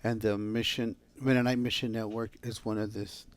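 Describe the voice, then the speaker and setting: A man in a church introducing a guest speaker, halting and often correcting himself. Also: on the low side, little pitch movement. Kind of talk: church announcement. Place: church sanctuary.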